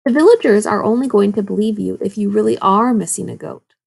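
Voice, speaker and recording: A woman's voice: medium pitch, fairly quick, vocal fry, darkish tone, husky. A woman voicing a sly, grumbling old male wolf in a children's storybook read-aloud. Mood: sly, wheedling. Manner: growling, wheedling, grumpy.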